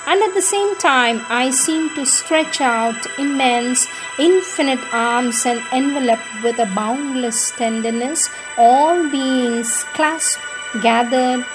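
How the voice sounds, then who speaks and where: A woman reading devotional prayers aloud in a measured, reverent and prayerful manner, as for an audiobook. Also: fairly high pitch, low-quality recording.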